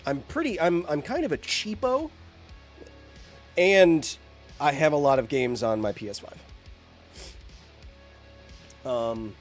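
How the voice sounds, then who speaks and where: A man's voice: a little high, animated, slow, long pauses, soft background music. A man live-streaming a game, chatting to his viewers in a rambling, jokey way while getting over bronchitis, a little congested. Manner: rambling, jokey, talks to chat.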